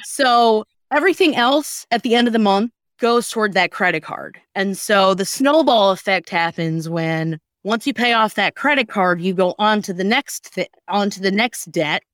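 A woman's voice: mid-range voice, lively intonation, normal speed, few pauses, a bit bright, dry recording, studio quality. A woman hosting a comedy podcast, explaining casually with nerdy enthusiasm and self-interruptions.

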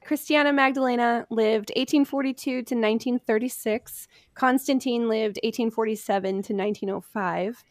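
A woman's voice: a little high, no room sound. Woman casually telling history, dry close sound. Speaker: podcast host presenting history research. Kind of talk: casual storytelling from notes among friends.

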